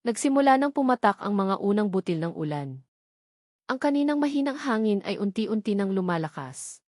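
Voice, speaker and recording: A woman narrates an audio romance in a scripted, dramatic storytelling style. Her pitch sits in the middle range for a woman. The recording is very dry, with no room sound. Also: animated, moderate pace, darkish tone, dry recording.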